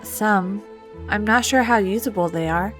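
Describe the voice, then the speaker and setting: A woman's voice: medium pitch, unhurried, fairly bright tone, faint music behind, close to an everyday voice. A woman voicing an earnest, weary young female news reporter with a downcast manner in a game story. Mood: dejected.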